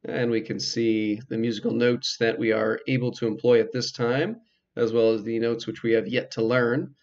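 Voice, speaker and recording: A man's voice: medium pitch, steady tone, moderate pace, a little mellow, dull audio. A man giving casual, hesitant commentary as he plays through a game. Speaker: game player narrating a playthrough.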